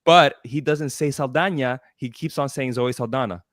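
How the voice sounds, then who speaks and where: A man's voice: medium pitch, moderate pace, fairly bright tone, no echo. A man on a livestream panel, explaining a point patiently with examples over a video call. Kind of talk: casual panel chat. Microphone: webcam on a video call.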